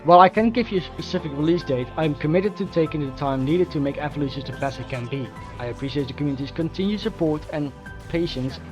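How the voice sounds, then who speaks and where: A man reading a scripted, formally worded progress report as a mod developer. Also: on the high side. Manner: formal, measured wording. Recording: dull lo-fi voice recording. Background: faint music.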